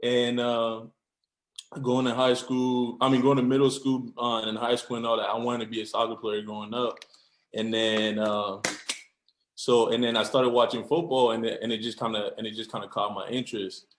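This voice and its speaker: A man's voice: medium pitch, flat delivery, moderate pace, a little hiss or hum. A young man from Cameroon, a football player, chatting casually as a podcast guest with lots of fillers and restarts. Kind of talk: casual interview. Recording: roomy echo.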